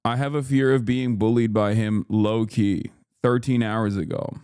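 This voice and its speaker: A man in his thirties from Turkey, streaming and riffing on chat messages in a loud, joking, slangy way with plenty of swearing. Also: fairly low pitch, a little slow, clean tone, no room sound, studio quality. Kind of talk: casual livestream chat.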